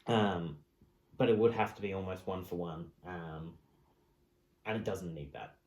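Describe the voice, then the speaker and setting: A man shares his views in relaxed group podcast chat. His voice is a little on the low side. The recording is roomy, with plenty of echo. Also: unhurried. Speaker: podcast co-host. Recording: echoey, roomy sound.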